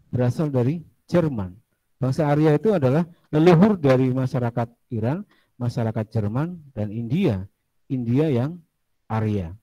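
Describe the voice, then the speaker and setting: A man's voice: medium pitch, mellow, no room sound, low-quality recording. A man teaching a casual classroom lecture, chatty, asking students questions and drifting into asides.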